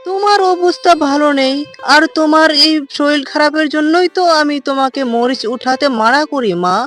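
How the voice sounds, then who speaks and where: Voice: normal speed, fairly bright tone, soft background music. A voice actor playing a dutiful young unmarried village woman in a cartoon, earnest and pleading. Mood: scolding. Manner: earnest, pleading, somewhat shrill. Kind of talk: cartoon voice acting.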